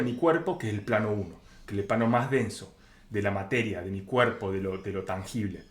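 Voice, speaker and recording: An Argentine man gives an informal spiritual lecture in a mid-range voice. He explains conversationally, slipping into first-person examples as he goes. The recording has a clearly roomy echo around his voice. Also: mid-range voice. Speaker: spiritual teacher. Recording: roomy, echoing sound.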